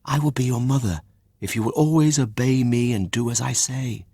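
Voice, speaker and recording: A man voicing a gentle, motherly grown-up fairy in a children's storybook. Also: mid-range voice, moderate pace, slightly rough, no room sound, studio quality, voice pushed up. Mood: soft, kindly.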